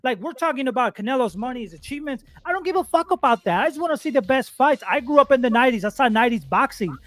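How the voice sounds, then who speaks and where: A man's voice: very high-pitched, lively intonation, very fast, fairly bright tone, dry recording. A man in his forties arguing boxing, insistent, repeating his points and stumbling over words. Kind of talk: heated boxing argument.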